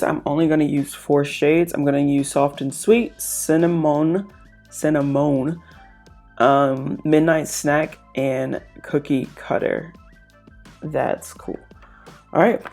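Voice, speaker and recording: A young woman with a stuffed-up, congested voice, chatting casually through a makeup tutorial at home. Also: deep voice. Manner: chatty, relaxed, lots of fillers. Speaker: beauty vlogger.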